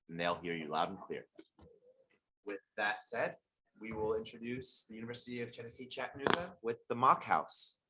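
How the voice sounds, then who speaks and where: A man's voice: mid-range voice, a little hiss or hum. A man moderating an event, chatting upbeat with a student team before introducing them. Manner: animated, upbeat, recommending spots. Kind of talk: casual chat and event hosting. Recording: crowd chatter behind.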